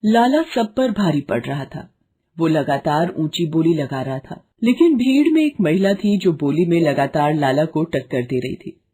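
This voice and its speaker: A woman's voice: sits low, animated, normal speed, warm tone, breathy edge. A woman storyteller giving scripted narration for a children's moral tale.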